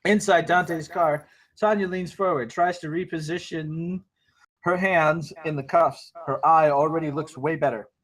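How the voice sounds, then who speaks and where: A man's voice: fairly high pitch, fairly even intonation, measured pace, fairly bright tone. A man reading out stage directions as narrator at a table read, in a terse scripted delivery.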